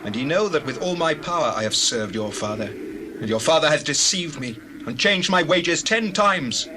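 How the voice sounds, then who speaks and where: An actor playing an earnest, righteous shepherd in a dramatized Bible reading, with declamatory delivery. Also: male voice, a little high, animated, moderate pace, lightly acted. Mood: aggrieved, testifying. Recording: noisy scene with sheep and bird effects.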